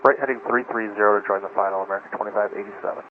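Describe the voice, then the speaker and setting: A man's voice: lowish voice, fairly even intonation, very fast. A man working as an airline pilot, giving clipped readbacks to air traffic control over cockpit radio. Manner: clipped, procedural phraseology. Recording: tinny narrowband cockpit radio, faint noise.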